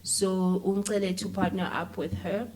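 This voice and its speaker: A woman's voice: mid-range voice, little pitch movement, moderate pace, darkish tone, rough recording. A woman with a South African accent chatting informally and animatedly as an interview guest, using plenty of slang. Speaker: interview guest, filmmaker and actress. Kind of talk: casual conversational interview.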